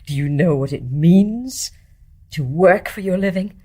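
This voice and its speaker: Embittered nurse in radio drama: low-pitched, husky, intense, accusing and anguished. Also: female voice, lively intonation, a little slow, dark tone. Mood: scornful. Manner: fervent, scornful, emphatic delivery. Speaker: actor in a radio play. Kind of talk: intense stage-play dramatic acting.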